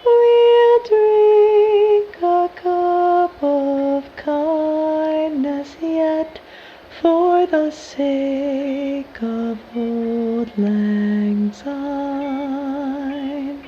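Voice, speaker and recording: Female singer: high, unhurried traditional song, soft-edged lo-fi sound with faint music. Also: fairly even intonation. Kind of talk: slow sung traditional ballad.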